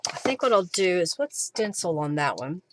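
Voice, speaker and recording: A woman's voice: on the low side, very animated, moderate pace. Woman, livestream craft host, casual step-by-step talk, thinking aloud, faintly noisy recording. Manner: informal, talks to herself and viewers while working. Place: live stream while working with craft materials.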